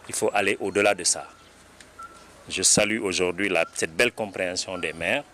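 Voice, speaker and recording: A man's voice: deep voice, normal speed, bright, forceful tone, slight background noise. A man with a Senegalese French accent speaking emphatically, like an orator, in a press interview.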